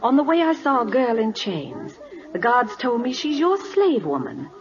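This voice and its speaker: A principled, outspoken royal governess in a vintage radio drama, heard on a muffled old recording. She speaks with earnest, lively expression and careful articulation, staying polite but standing firm. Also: on the high side, normal speed. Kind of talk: 1940s American radio acting.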